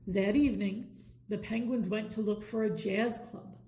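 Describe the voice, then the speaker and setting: A woman reading a children's bedtime story aloud in an expressive, deliberate storytelling manner. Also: medium pitch.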